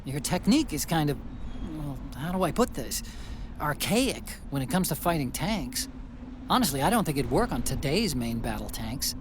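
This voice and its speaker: An actor playing an earnest, excitable, chatty tech sidekick in a video game. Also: male voice, fairly high pitch, normal speed, noisy background. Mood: curious. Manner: chatty, animated, teasing. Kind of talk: video game cutscene acting.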